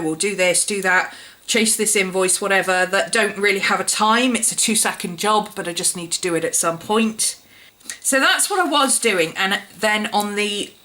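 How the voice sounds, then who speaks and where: Vlogger, woman, croaky from a cold, bright tone, chatty walkthrough. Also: medium pitch. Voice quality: slightly croaky, husky. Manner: chatty, explaining step by step. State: hoarse from a cold. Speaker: planner YouTuber. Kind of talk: casual show-and-tell vlog.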